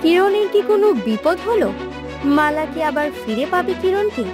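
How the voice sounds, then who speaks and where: A woman's voice: very high voice, big pitch swings, moderate pace, over music. A woman narrator reading a scripted cartoon outro, putting questions directly to viewers.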